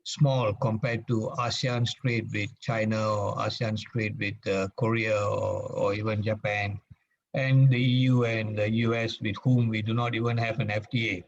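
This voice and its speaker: A man from Malaysia, giving a formal talk as a guest speaker at a meeting and pausing often with fillers. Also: on the low side, little pitch movement, creaky. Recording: dull lo-fi sound.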